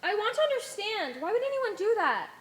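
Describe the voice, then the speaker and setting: Young woman as an earnest follower, very high-pitched. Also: big pitch swings, fairly quick. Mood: curious, questioning. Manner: earnest, hesitant, questioning. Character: earnest questioning young female follower, troubled and curious. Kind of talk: amateur one-act stage play acting. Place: theater stage.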